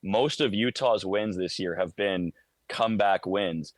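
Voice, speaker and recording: Lower-voiced American play-by-play man breaking down hockey. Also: a little slow, no room sound. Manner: conversational, detailed, stammers over word starts. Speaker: radio play-by-play broadcaster, guest analyst. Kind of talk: live sports radio analysis.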